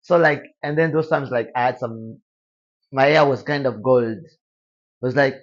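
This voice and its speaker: A young man from Tanzania with a Tanzanian accent, speaking softly and quietly as a guest in a casual interview. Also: medium pitch, unhurried, muffled recording. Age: young adult. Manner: soft-spoken, quiet.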